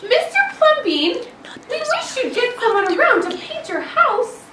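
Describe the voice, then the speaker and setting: A woman voicing fussy storybook neighbours who complain politely in an exaggerated, drawn-out way. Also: extremely high pitch, very animated, voice pushed up, heavily acted. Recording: echoey classroom from far off, some noise.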